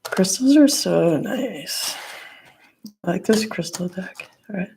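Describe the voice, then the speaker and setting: A hoarse woman with a gravelly voice doing a casual tarot and crystal card reading in her living room, rambling with lots of fillers. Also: on the low side, lively intonation, takes it slowly, warm tone. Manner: rambling, lots of "like" and "you know".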